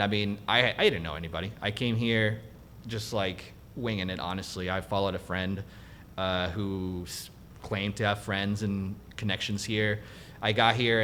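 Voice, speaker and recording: A young man, raised in Mexico with Spanish as his first language, talks in a low voice. He tells his story with animated energy and often quotes himself. A faint rumble hums behind him. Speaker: guest cinematographer. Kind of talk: casual interview chat.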